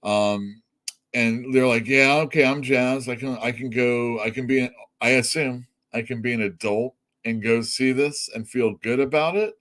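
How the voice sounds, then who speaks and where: A middle-aged man talks casually and bluntly as a comic shop host, voice sitting at an ordinary middle pitch. He rambles and restarts, swears without a second thought, and laughs at his own jokes. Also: normal speed, a bit bright. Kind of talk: casual opinionated chat.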